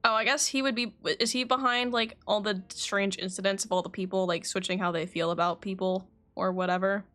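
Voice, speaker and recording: A woman's voice: medium pitch, fairly even intonation, fairly quick, a bit bright. Casual, rambling woman game streamer. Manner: rambling, informal, giggly. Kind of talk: casual livestream reaction chat. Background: faint game music.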